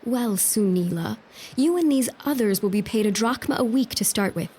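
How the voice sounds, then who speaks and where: A woman's voice: on the high side, lively intonation, moderate pace, dark tone, husky. A woman playing a resolute young noblewoman in an audio drama, firm and composed. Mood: stern authority. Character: resolute young noblewoman running an army hospital.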